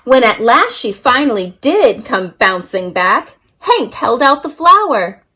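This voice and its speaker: A woman's voice: on the high side, sing-song, unhurried, pushed and bright, slightly rough. A woman reading a picture book aloud expressively for young children, as a story-time reader.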